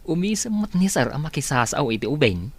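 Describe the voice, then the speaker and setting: A man's voice: a little high, big pitch swings, fairly quick. A man acting a chatty, sentimental household onlooker with a Filipino accent in a radio drama. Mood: touched, chatty.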